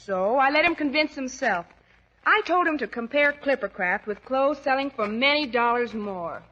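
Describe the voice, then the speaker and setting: An actress playing a confident, chatty housewife in a polished, persuasive 1940s radio commercial. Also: female voice, sits high, expressive, moderate pace. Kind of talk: 1940s radio advertisement, scripted dialogue. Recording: muffled 1940s radio recording.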